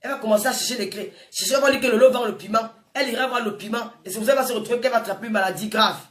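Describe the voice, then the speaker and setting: High-voiced African woman scolding, echoey room. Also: very fast, a bit bright. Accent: Francophone African. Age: 40s. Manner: scolding, emphatic, repeats phrases for effect. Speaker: social media personality addressing viewers. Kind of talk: impassioned informal monologue to camera. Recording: echoey room, distant microphone.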